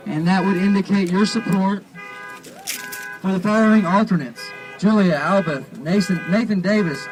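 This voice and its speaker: A man speaking as a meeting chair outdoors, in formal procedural language with a deliberate, halting delivery. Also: fairly high pitch, darkish tone, slight background noise, poor audio. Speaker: convention chair running a meeting. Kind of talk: formal parliamentary procedure, announcing to an assembly. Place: outdoors at a parking lot gathering.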